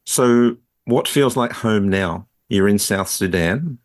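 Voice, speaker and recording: A man's voice: fairly low pitch, slow pace, dry recording. A man hosting a podcast, asking questions in a conversational interview style.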